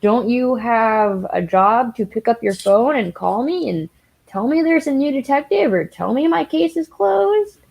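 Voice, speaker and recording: A woman guest in an interview, a member of a victim's family, talking in an animated and exasperated way. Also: fairly high pitch, measured pace, creaky. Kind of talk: casual interview talk.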